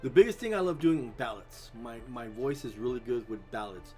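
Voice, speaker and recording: A man's voice: medium pitch, animated, normal speed, mellow, faint music behind. A middle-aged man chatting casually as a guest, rambling and easygoing, with his voice drying out. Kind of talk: casual chat.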